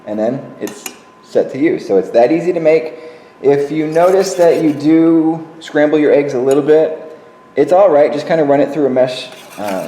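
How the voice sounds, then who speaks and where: A man's voice: medium pitch, warm tone, a little hiss or hum. Man talking through a recipe, far and echoey. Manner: casual, step-by-step, with frequent fillers. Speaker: teen librarian. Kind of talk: cooking demonstration. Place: kitchen. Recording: echoey kitchen, far mic, clattering dishes.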